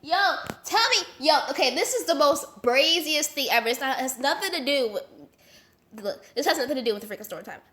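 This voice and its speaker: A young woman from Las Vegas, sick and congested with a nasal voice, telling a story in a chatty, animated way full of slang and fillers. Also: high voice, fairly quick. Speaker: vlogger. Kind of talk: casual storytime vlog.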